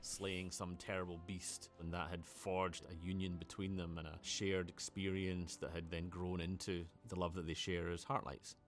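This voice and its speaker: Deep, even-toned man in an interview. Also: moderate pace, few pauses. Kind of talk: documentary interview explanation. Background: faint music.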